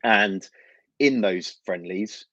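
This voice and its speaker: Man, London accent, very low pitch, football journalist guest, conversational, dry but muffled recording. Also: slow, pushed and bright, dry recording. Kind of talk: conversational interview answers. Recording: slightly dull, lo-fi microphone sound.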